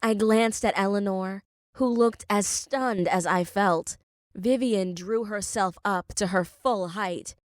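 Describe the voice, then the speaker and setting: A woman's voice: medium pitch, slow, no room sound, studio quality. A woman reading scripted first-person fiction as an audiobook narrator.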